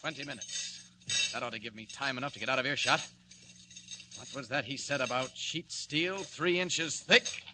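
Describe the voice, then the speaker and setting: An actor playing a confident, defiant superhero in a 1940s radio serial, self-assured and wry in a declamatory way. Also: male voice, mid-range voice, moderate pace, natural voice. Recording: very noisy old radio recording.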